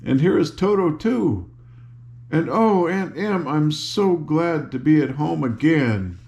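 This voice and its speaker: An earnest little farm girl from a storybook, played by an older man. The voice is pitched well up, sweet and glad, with a simple, grave way of speaking. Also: expressive, slow pace, creaky, darkish tone, heavily acted. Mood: earnest relief.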